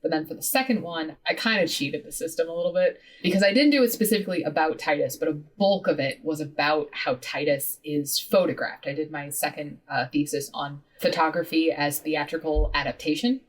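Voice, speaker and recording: Animated woman, a little off-mic. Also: sits low, fairly quick, hardly any pauses, recorded from a distance. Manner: animated, heavy use of "like", swears casually. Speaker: podcast guest. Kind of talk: casual interview chat.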